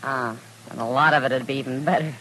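A woman's voice: extremely low pitch, steady tone, quick pace, a bit bright. An American actress playing a wry, plainspoken frontier woman in an old radio western, casual and folksy. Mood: wry, relaxed. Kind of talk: 1950s radio western acting. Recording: noisy old radio recording.

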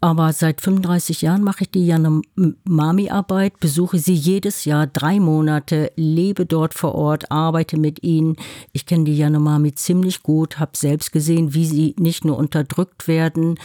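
A woman's voice: deep voice, dark tone, no echo, clean studio sound. An older woman from Germany, a guest activist telling her story in a firm, matter-of-fact interview manner. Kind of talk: interview conversation.

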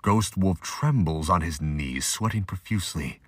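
A man's voice: deep voice, expressive, measured pace, breathy edge, no room sound. A man delivering scripted, emphatic narration for an action audio drama.

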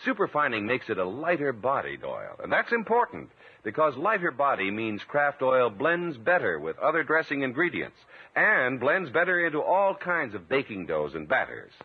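A man's voice: mid-range voice, huge pitch swings, moderate pace, breathy edge. A man announcing and narrating ads in emphatic, salesmanlike 1950s radio style. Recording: tinny vintage radio recording.

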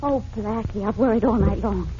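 An actress playing a worried, frantic young sweetheart in a 1940s radio drama. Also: female voice, medium pitch, moderate pace, warm tone, lightly acted. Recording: muffled, noisy old radio recording.

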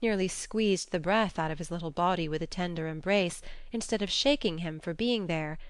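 A woman's voice: lowish voice, normal speed, clear, resonant tone, no echo. A woman reading scripted storybook narration as an audiobook narrator.

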